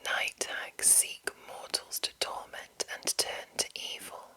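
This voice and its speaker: Woman doing whispered asmr, super breathy n crisp-edged bright hiss, takes her time. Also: slow pace. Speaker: ASMR host. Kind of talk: whispered ASMR reading of a game manual with casual asides.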